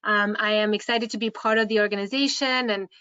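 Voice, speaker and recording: A woman's voice: medium pitch, fairly even intonation, fairly quick, bright, forceful tone, smooth, no room sound. A woman with a Hungarian accent giving a webinar presentation as a care home administrator, hesitant with many fillers and restarts. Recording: dull, dry online call audio.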